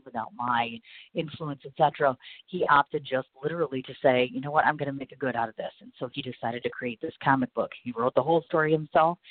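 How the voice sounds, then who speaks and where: Rapid, rambling male podcast host, gushing, tinny phone-like sound. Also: a little high, no room sound. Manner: rambling, gushing, repeats "literally". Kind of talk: casual talk show monologue.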